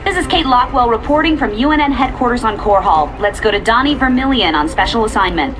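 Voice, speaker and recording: An actress playing a composed, probing television news reporter with crisp newscaster delivery in a video game. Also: female voice, a little high, animated, quick pace, over music, natural voice. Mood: professional.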